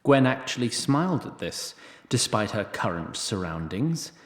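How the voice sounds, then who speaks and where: A man's voice: medium pitch, big pitch swings, unhurried. Echoey male storyteller, wry. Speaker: narrator. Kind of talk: scripted story narration.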